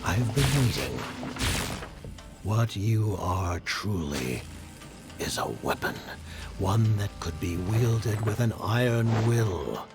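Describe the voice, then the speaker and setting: An actor playing an ominous, commanding villain with a solemn, formal manner in a video game. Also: male voice, fairly low pitch, animated, slow pace. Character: ominous commanding male villain, grave and grandiose. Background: loud music.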